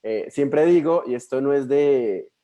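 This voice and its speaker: Young Colombian man, mellow friendly voice. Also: mid-range voice, measured pace. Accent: Colombian Spanish. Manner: friendly, chatty, many fillers and restarts. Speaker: missionary guest speaker. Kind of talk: warm personal introduction to a church. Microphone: video call.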